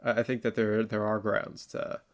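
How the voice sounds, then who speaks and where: Low-voiced man in casual political discussion. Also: level tone. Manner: halting, repeats words, informal. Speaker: podcast co-host debating politics.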